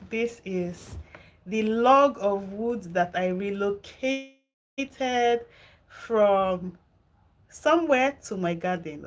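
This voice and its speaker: A woman's voice: fairly high pitch, a bit bright. A Nigerian woman hosting a gardening live stream, chatting casually with viewers and answering their comments. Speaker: gardening channel host. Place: home garden and kitchen.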